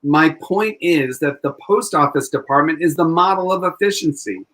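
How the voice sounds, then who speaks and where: Man playing an earnest, higher-pitched defense lawyer. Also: animated, normal speed, a bit bright. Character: earnest, persistent defense lawyer arguing in court. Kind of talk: 1940s-style live radio play acting.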